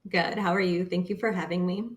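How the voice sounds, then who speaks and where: A woman's voice: on the low side, steady tone, a little fast. A woman in her thirties with a Bosnian accent, talking earnestly as a guest on a remote interview, often using fillers. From Bosnia and Herzegovina. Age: 30s. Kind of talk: casual interview.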